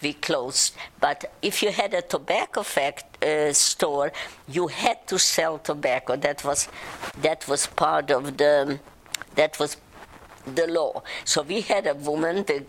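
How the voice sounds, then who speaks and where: An older woman from Czechoslovakia, speaking haltingly in an oral history interview. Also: low-pitched, a little hiss or hum. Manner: halting, many restarts and fillers. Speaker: interviewee, survivor recounting childhood.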